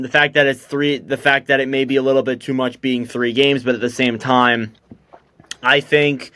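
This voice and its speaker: A man's voice: mid-range voice, fairly bright tone, no room sound. A young man hosting a casual hockey podcast, chatty and loose with lots of fillers. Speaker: podcast host.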